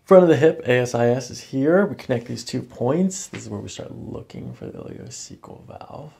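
A middle-aged man working as a chiropractor, calmly guiding a patient in short, reassuring phrases in an ASMR style. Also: mid-range voice, moderate pace, creaky. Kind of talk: calm hands-on ASMR treatment talk, instructing a patient. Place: small carpeted treatment office, indoors. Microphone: microphones on boom stands near the table.

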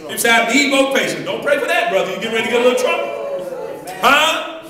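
High-voiced older preacher in an echoey hall. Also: male voice, expressive, a little fast, pushed and bright. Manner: call-and-response preaching, colloquial, calls out "huh?". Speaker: pastor. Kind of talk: sermon. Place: church hall, walking the floor before a congregation. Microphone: handheld microphone, filmed by a far camera. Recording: echoey hall from far off, congregation.

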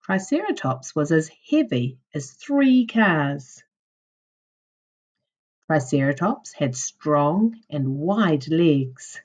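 A woman teacher reading a children's non-fiction book aloud in a deliberate, instructional way for young children. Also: low voice, big pitch swings, a little mellow. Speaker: primary school teacher.

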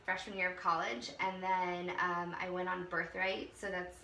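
A woman's voice: lowish voice, level tone, normal speed, gravelly, sharp tone. A young woman with a Californian accent, a guest chatting over tea, telling stories with lots of "um" and "like.". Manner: storytelling, lots of fillers like "um" and "like". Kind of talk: casual chat over tea. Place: small bright room with a tea table. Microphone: far camera. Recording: echoey room from far off, faint hiss.

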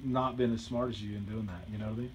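A man's voice: on the low side, fairly even intonation, quick pace, crowd noise. A man in his thirties speaking as an attorney in a small office, teasing and mock-serious as he presses with questions. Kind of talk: bantering speakerphone call with a scam caller. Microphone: handheld phone camera at close range. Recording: noisy handheld phone video.

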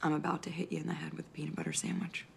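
A woman's voice: sits low, little pitch movement, rapid-fire, creaky, a little hiss or hum. An actress playing a weary, guarded American woman agent in an understated film drama. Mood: dry, wry.

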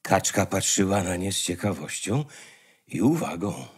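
A man narrating a fairy tale in a measured storytelling manner, with dramatic pauses. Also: deep voice, expressive, slightly rough. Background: music.

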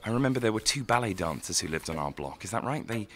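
A man acts a sensitive, troubled young son in an audio drama. His voice is forward and bright with lively intonation, earnest and pleading, over faint background noise. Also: lowish voice, fast. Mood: wistful. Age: young adult.

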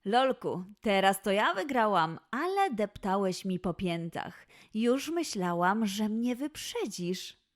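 A woman's voice: mid-range voice, big pitch swings, takes it slowly, soft background music. A woman voicing a playful, encouraging mother lioness who coaxes with game-like challenges in a children's audio play.

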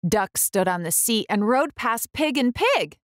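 A woman reads a children's story aloud in an expressive, storytelling style. She takes her time, with lively rises and falls in a medium-pitched voice, recorded close and dry. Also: unhurried, no echo. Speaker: children's book reader and host.

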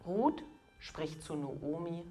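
A woman in her fifties delivering a scripted sermon in measured, deliberate phrases with pauses. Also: lowish voice, expressive, dark tone, soft background music. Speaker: preacher.

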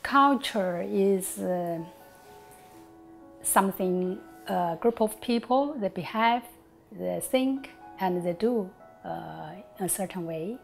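A woman's voice: medium pitch, expressive, gravelly, faint music behind. Careful woman from China. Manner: slow and careful, with pauses. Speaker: interviewee, immigrant mother. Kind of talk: interview answers to camera. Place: indoor room, on a leather sofa.

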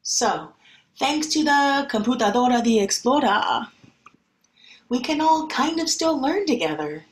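Slow, high-voiced woman reading to children. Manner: expressive, deliberate, child-directed delivery. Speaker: schoolteacher reading to pupils. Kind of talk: picture-book read-aloud and talk to children.

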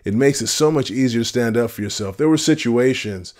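A man in his fifties with a deep voice, giving earnest advice straight to camera in a casual vlog, with fillers and restarts. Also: fairly quick, a little mellow. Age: 50s. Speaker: retirement vlogger. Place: home room with shelves of vinyl records. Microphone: camera at close framing.

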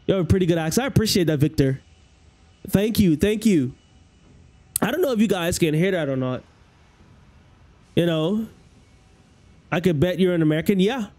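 High-voiced Bahamian man streaming games. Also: a little mellow. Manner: loose, rambling, repeats phrases. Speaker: game streamer. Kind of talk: casual livestream chat with viewers. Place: small room at home, indoors. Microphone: headset.

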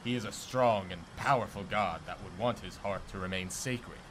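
A man's voice: lowish voice, moderate pace, natural voice. A man playing a bitter, contemptuous older blacksmith in ancient Greece, haughty and declamatory, in an audio drama. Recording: noticeable background noise.

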